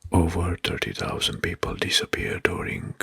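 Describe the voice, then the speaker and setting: A man narrating facts as ASMR in a hushed, breathy whisper, halting and softly repeating words. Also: low-pitched, lively intonation. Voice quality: breathy, mostly unvoiced whisper.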